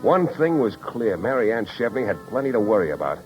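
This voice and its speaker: An actor playing a wry, tough, world-weary private detective in a 1950s radio drama, with slangy, wisecracking delivery and narration. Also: male voice, lowish voice, big pitch swings, a little fast, faint music behind. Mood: brooding, wry. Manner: hard-boiled, wisecracking, slangy. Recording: dull vintage 1950s radio recording.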